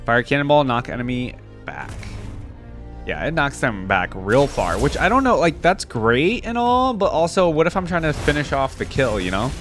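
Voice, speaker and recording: A man's voice: mid-range voice, very animated, normal speed, a bit bright, faint music behind. A young man giving casual reaction commentary as a video host, musing aloud in an informal way.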